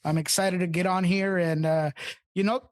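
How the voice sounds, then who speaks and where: A man with a slightly raspy voice co-hosting a casual sports podcast, talking loosely and emphatically with lots of fillers and restarts. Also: sits high, steady tone, normal speed. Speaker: podcast co-host, team insider.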